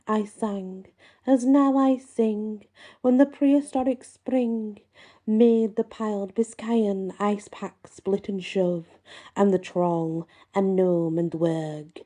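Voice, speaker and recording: Very mellow, soft-toned woman reading aloud. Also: medium pitch. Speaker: host and reader. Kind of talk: reading a folklore book aloud with casual asides.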